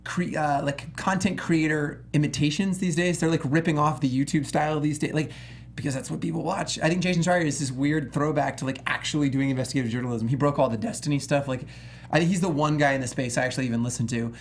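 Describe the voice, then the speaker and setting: A man's voice: on the high side, fairly even intonation, quick pace, a little hiss or hum. A man giving emphatic, opinionated commentary in casual, slangy language as a host in a panel discussion about gaming. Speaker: gaming talk show host.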